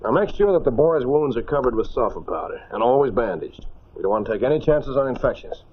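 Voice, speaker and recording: An American man playing a steady, caring airman skipper who gives matter-of-fact orders in a 1940s film drama. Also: medium pitch, fast talker, breathy edge. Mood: steady, concerned. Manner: instructive, matter-of-fact, encouraging. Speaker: actor. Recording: muffled 1940s film soundtrack, faint music.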